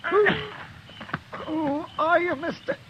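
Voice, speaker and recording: A man's voice: extremely high pitch, slow. An actor playing a terrified, weaselly male outlaw who begs and whines, in 1950s radio drama acting on a noisy lo-fi recording. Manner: panicky, pleading, whining.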